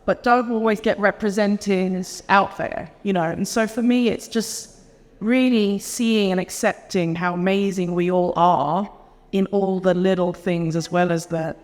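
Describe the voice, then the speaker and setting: A woman's voice: medium pitch, fairly even intonation, a little slow, a little mellow. A woman panelist talking casually and earnestly, with many "like" and "you know" fillers. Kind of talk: panel discussion answer. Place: conference hall. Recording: long hall reverb.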